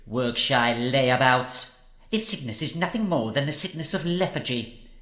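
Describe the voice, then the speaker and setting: A man playing a greedy, scheming male lord who flatters the king in old-fashioned phrases. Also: medium pitch, measured pace, roomy sound, lightly acted.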